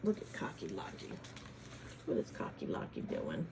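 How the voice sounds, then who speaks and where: A woman reading a storybook to children in an expressive, teacherly way, adding chatty asides and questions. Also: rumbling low, moderate pace, vocal fry, noisy background. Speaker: children's read-aloud host, former teacher.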